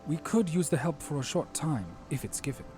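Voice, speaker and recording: A man's voice: a little high, sing-song, fairly quick, music in the background. A man playing an earnest, courteous fantasy hero and troubled leader, speaking formally and solemnly in an audio drama. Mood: grateful, earnest.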